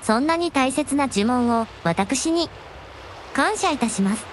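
A woman playing a young dragon princess in a radio drama, devoted and gentle, with refined, deferential, ladylike speech. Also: high-pitched, animated, unhurried, slight background noise. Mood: polite, grateful. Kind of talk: Japanese radio drama acting.

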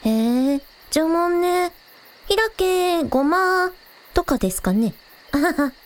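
A woman playing a cheerful, curious young village idol girl, casual and quick to exclaim, in a scripted audio drama. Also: very high voice, animated, full character voice. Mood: curious, excited.

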